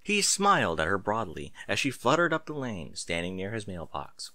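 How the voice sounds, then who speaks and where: A man's voice: lowish voice, big pitch swings, moderate pace, bright, forceful tone, dry recording. A man reading a story aloud as scripted narration, with an emphatic, theatrical delivery. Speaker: audiobook-style story reader. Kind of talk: expressive scripted narration of fan fiction.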